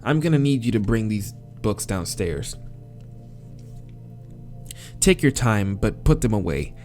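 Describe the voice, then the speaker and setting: A man's voice: lowish voice, animated, a little slow, a little mellow, faint music behind. A man voicing a stern, sour cat librarian from a visual novel, curt and commanding.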